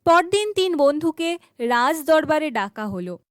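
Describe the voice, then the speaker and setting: Woman, folk tale narrator, high voice, animated intonation, dry recording. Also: measured pace, no room sound.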